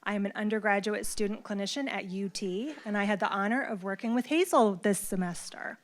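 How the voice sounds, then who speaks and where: A woman's voice: mid-range voice, brisk. A woman speech clinician reading a written tribute aloud at an event, encouraging and full of praise.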